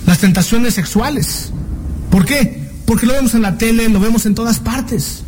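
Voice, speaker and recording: Man, very high voice, preacher, earnest sermon, drawn-out emphasis, rhetorical questions, faint background noise. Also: a little mellow. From Mexico. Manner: earnest, slows and stretches words for emphasis, asks rhetorical questions.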